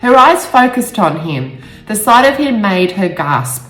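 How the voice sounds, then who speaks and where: A woman author speaking in a halting, emotional way, stumbling over words now and then. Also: medium pitch, sing-song, a bit bright. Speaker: author reading own novel aloud. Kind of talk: amateur storybook reading with personal asides. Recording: roomy echo with faint music.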